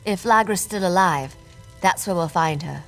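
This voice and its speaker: A woman's voice: fairly low pitch, normal speed, a bit bright, soft background music. An actress playing a sly female rogue companion in a fantasy video game, with a dry, wry delivery.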